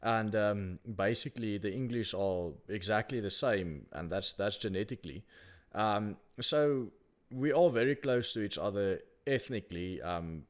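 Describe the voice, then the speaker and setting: A man from South Africa hosting a call-in show, talking in a measured way and often restarting words. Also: lowish voice, animated. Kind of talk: casual call-in chat.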